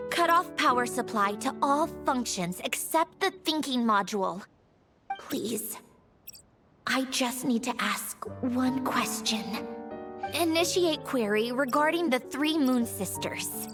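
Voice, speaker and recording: A woman playing a weary, sardonic, damaged puppet scientist in a video game, speaking in dry, clinical, halting phrases. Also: high voice, music in the background. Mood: weary, strained. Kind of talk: dramatic video game voice acting.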